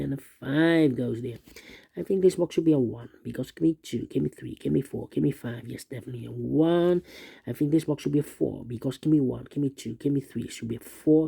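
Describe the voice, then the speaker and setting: A man with a very muted, dark voice that creaks at the edges. He talks through a puzzle step by step, methodically, in repeating sing-song phrases. The sound is dry and close. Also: mid-range voice, no echo. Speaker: puzzle instructor. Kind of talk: unscripted step-by-step sudoku tutorial, thinking aloud.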